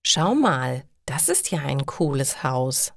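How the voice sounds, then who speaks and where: A woman's voice: very deep voice, big pitch swings, takes it slowly, vocal fry, no echo, studio quality, heavily acted. A woman voicing a cautious, easily scared schoolboy in a storybook reading for language learners. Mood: casual. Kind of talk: acted dialogue in a learner's storybook.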